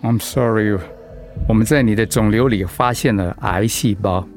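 An actor playing a calm, measured male doctor in a radio drama, explaining things professionally. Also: lowish voice, faint music behind, natural voice. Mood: grave, gentle.

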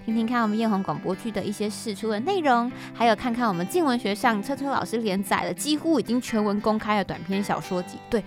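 Higher-voiced Taiwanese woman hosting a chatty podcast. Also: lively intonation, quick pace, few pauses, music in the background. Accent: Taiwanese Mandarin. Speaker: podcast host and audio drama scriptwriter.

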